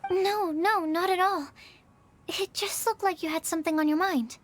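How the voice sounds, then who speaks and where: A woman voicing a gentle, curious and polite young woman in a video game, with a considerate, slightly tentative manner. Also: extremely high pitch, moderate pace, faint music behind. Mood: kind, concerned.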